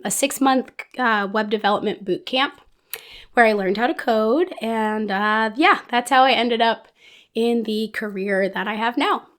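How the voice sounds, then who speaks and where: A woman hosting a knitting podcast in a casual, rambling chat, her voice hoarse as she recovers from being sick. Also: fairly high pitch, fairly bright tone. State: hoarse, under the weather with a recovering lost voice.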